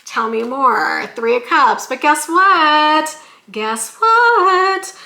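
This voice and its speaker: A woman reading tarot in a chatty, sassy way, often saying "you guys," with a slightly hoarse voice. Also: high voice, expressive, very slow, pushed and bright, roomy sound. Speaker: tarot reader. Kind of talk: casual tarot reading vlog.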